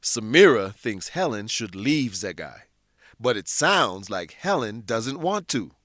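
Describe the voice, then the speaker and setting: A man's voice: on the low side, sing-song, a little slow, fairly bright tone, slight background noise. A man narrating an educational radio drama in a scripted, presentational style.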